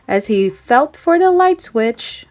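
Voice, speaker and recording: Female storybook reader for young children: high voice, very expressive, swooping delivery, emphatic storytelling. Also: slow. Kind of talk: expressive children's read-aloud narration.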